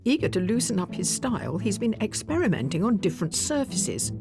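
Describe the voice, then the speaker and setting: Lively female voice-over narrator. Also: mid-range voice, a little fast, creaky, over music. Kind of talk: scripted narration.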